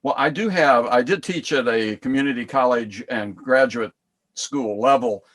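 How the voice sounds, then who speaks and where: A man's voice: mid-range voice, normal speed, fairly bright tone. Man, older, Southern US accent, folksy, laughs easily, casual chat. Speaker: guest, former college teacher. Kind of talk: casual video call chat.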